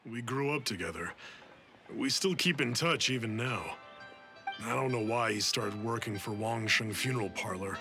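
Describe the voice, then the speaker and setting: An actor playing a reserved, thoughtful young townsman in an anime game, measured and reflective. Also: mid-range voice, lightly acted. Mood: guarded. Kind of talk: anime video game voice acting. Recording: music behind.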